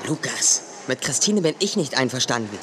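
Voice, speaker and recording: A man's voice: medium pitch, lively intonation, normal speed, noisy background, close to an everyday voice. An actor playing an arrogant, mocking young male pop singer in a children's radio play. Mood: scornful.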